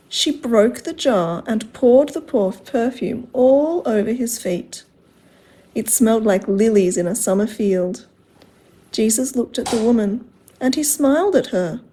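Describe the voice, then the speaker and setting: Slow-paced woman reading a children's story. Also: fairly high pitch, slightly rough. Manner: expressive, simple phrasing addressed to children. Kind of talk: read-aloud children's storytelling.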